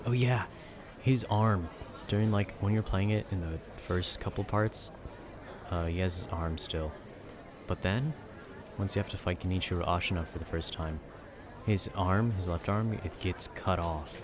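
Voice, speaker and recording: A man casually rambling about a video game with nerdy enthusiasm, often halting to search for words. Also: low voice, noisy background. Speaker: voice actor making a rambling ambience video.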